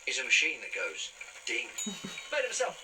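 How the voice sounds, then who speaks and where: A man playing an eccentric, boastful, quick-witted time traveller in a television science fiction drama. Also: medium pitch, wildly swooping pitch, over a noisy crowd, poor audio. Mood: gleeful, cocky. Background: dramatic soundtrack music.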